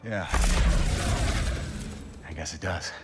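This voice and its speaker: An actor playing a solemn, repentant middle-aged former villain in a video game cutscene. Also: male voice, deep voice, slow pace, heavy background noise. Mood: grave.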